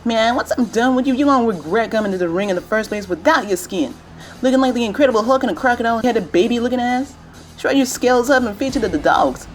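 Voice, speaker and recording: Girl doing a cocky street brawler lady, highish voice, swings all over, slangy trash talk, cartoon fight style, music under it. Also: lively intonation, quick pace, close to an everyday voice. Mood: cocky taunting. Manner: trash-talking, slangy, swaggering. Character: brash cocky female breakdancing street fighter. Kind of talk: animated fight show acting.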